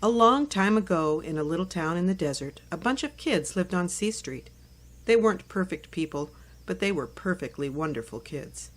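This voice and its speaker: A woman reading a children's chapter book aloud as narrator, in clear, simple phrases meant for young listeners. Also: on the low side, lively intonation, moderate pace. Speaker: storybook narrator.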